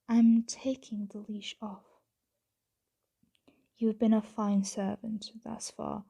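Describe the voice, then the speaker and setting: A woman voicing a possessive yet tender vampire owner in an ASMR roleplay, speaking softly and often whispering in formal, gently commanding words close to the mic. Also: a little high, exaggerated voice. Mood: tender, earnest. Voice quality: soft, breathy, often whispered. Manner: slow, hushed, gently commanding, formal wording. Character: possessive, tender female vampire owner addressing her servant.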